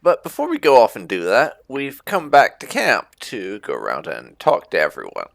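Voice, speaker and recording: A man hosting a gaming video with casual roleplay commentary, speaking in a halting, thoughtful way on a close mic. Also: medium pitch, huge pitch swings, vocal fry, no room sound. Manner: halting, thoughtful delivery with murmured hums. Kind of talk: casual let's play commentary, reading dialogue choices aloud in roleplay.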